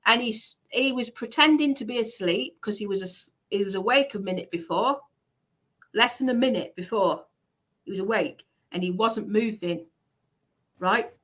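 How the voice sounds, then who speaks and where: A woman's voice: medium pitch, normal speed, fairly bright tone. A woman giving a heated personal account on a livestream, speaking in an indignant, emphatic way and repeating herself for stress.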